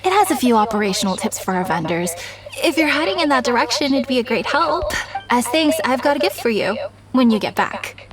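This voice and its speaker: An actress playing a friendly, organised festival coordinator in a game, polite and gently amused. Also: female voice, on the high side, big pitch swings, a little fast. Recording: distant voice over game music.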